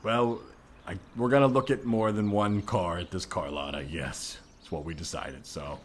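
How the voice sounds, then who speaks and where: A jealous husband with a fairly low voice, acted out by a man in an improvised comedy sketch. He is exasperated and halting, pressing his point with strained insistence. There is faint noise behind the podcast recording. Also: fairly even intonation, close to an everyday voice. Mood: uncomfortable, accusing.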